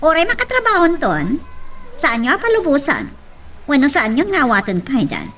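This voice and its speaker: A woman with a Filipino accent playing a willful young adult daughter of a boss, expressive and insistent, in an audio drama. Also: high voice, normal speed, heavily acted. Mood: petulant.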